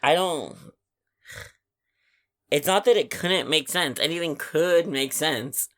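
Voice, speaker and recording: A man's voice: fairly high pitch, slow, a bit bright. A man co-hosting a podcast, giving blunt opinions in casual film chat. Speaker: podcast co-host.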